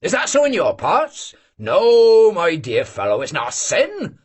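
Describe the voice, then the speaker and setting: A man voicing a boisterous, bragging old male Cossack who teases loudly and argues emphatically, in a husky voice. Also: high voice, huge pitch swings, takes it slowly, pitched above the performer's own voice, full character voice. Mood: preaching. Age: older. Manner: bellowing, teasing, emphatic.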